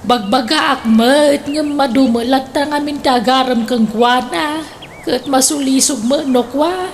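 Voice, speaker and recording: A woman's voice: high-pitched, a little slow, slight background noise. A woman playing a pious, meek mother in an Ilocano radio comedy drama, with an Ilocano accent. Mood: helpless, defensive.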